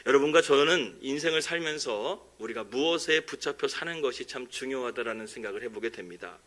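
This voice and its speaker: A man's voice: medium pitch, animated, fairly quick, a bit bright. A man, a pastor delivering a sermon in an earnest, conversational manner. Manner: earnest, conversational, chuckles at his own jokes. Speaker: pastor preaching a Sunday sermon. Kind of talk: sermon with anecdotes, jokes and prayer. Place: church service, preaching from the pulpit.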